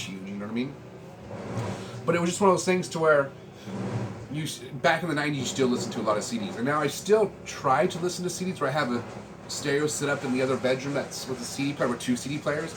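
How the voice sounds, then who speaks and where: A middle-aged American man with an average-pitched voice rambles casually, like a vlogger talking through a collection. His delivery is laid-back and slangy, wandering from one thought to the next. Clear background noise sits behind his voice. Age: 40s. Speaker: vlogger showing a music collection. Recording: clearly noisy room ambience.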